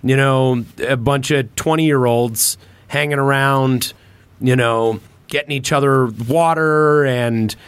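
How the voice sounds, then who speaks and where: A man's voice: medium pitch, fairly even intonation, takes it slowly, smooth, no echo. A man hosting a podcast in casual conversation, rambling along with informal slang and swearing. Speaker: podcast host.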